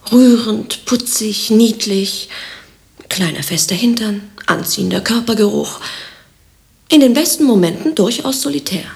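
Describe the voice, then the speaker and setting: A woman's voice: on the high side, unhurried, creaky, slightly rough, heavily acted. Dry, ironic woman. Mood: wry. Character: cool, wry, self-regarding female partner. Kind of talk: German radio play acting.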